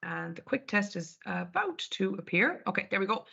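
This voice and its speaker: Bright-voiced Irish woman presenting a webinar. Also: medium pitch, big pitch swings, moderate pace, vocal fry, husky. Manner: conversational, walks through screen step by step. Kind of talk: live market analysis presentation. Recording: dull lo-fi webinar audio.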